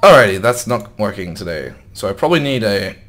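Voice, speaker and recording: Low-voiced man musing over gameplay. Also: big pitch swings, brisk, a bit bright. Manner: thinks aloud, wondering and muttering to himself. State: slightly sore, losing voice. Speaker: gaming YouTuber. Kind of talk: casual gameplay commentary.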